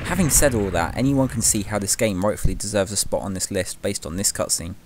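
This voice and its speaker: Low-voiced man presenting over music. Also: fairly quick. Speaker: presenter of a video game countdown. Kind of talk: scripted opinion commentary voice-over. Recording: music behind the voice. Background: loud music.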